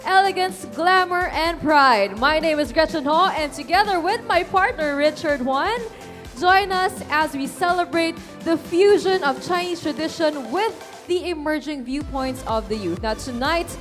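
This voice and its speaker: A woman's voice: high voice, animated, normal speed, a bit bright. A woman speaking as a pageant host in a formal stage style. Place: large pageant venue before an audience. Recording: music behind a stage microphone.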